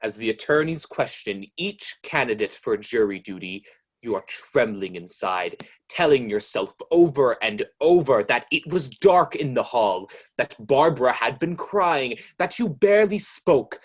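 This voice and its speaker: A man playing an ominous, all-knowing narrator of a radio mystery, speaking in a deliberate, insinuating way as he taunts a guilty woman. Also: mid-range voice, big pitch swings, breathy edge. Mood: taunting, suspenseful.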